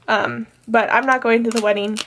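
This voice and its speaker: A young woman talks in a relaxed, rambling way, her voice slightly high with a gravelly fry at the ends of phrases. She sounds a little nasal from allergies. Faint noise and paper clicks sit under her voice. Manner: chatty, rambling with fillers. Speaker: planner vlogger. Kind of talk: casual plan-with-me chat.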